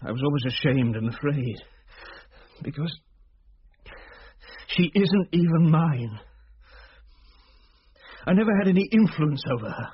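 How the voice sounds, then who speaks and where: A man playing a middle-aged, anguished father in a radio drama, halting and plaintive as he confides. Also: fairly high pitch, animated, breathy edge. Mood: confessional grief. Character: anguished, confiding father burdened by a family secret. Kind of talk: BBC-style radio drama acting. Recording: dull, slightly noisy radio recording.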